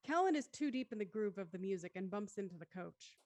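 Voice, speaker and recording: A woman's voice: medium pitch, expressive, fast, a little mellow, no echo. A woman reading stage directions as scripted narration for a drama.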